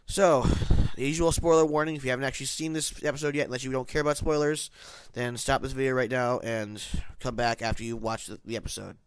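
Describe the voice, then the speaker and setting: A man's voice: mid-range voice, steady tone, fast, hardly any pauses, clean tone, poor audio. A man with a nasal voice, congested from hay fever, giving a casual, rambling spoken review of a TV episode. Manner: rambling, many restarts and self-corrections.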